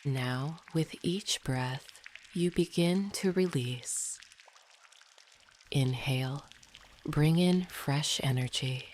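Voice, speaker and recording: A woman guides a meditation in a soothing, hushed, often near-whispered delivery. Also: sits low, slow pace, a little hiss or hum. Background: dripping, pouring water sounds.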